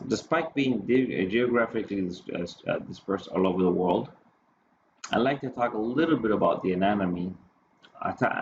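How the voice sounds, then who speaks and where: Low-voiced man presenting over noisy audio. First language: Spanish or English, bilingual. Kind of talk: conference presentation. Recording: dull lo-fi audio, clear background noise.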